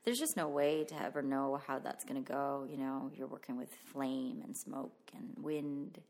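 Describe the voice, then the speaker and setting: A woman's voice: very deep voice, normal speed, darkish tone. A woman talking casually and reflectively about her own work as an interview guest. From Canada. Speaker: interview guest, visual artist.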